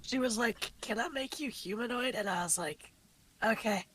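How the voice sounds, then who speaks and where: A woman's voice: fairly high pitch, moderate pace, fairly bright tone, a little hiss or hum, poor audio. A woman chatting informally as a livestream co-host, tending to repeat her phrases. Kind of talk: casual livestream chat.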